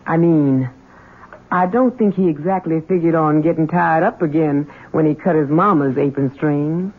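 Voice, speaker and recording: An actress playing a deferential, kindly elderly housekeeper in a 1970s radio drama, speaking hesitantly. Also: female voice, low-pitched, moderate pace, background noise, lightly acted. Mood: wry. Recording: narrow-band old radio broadcast.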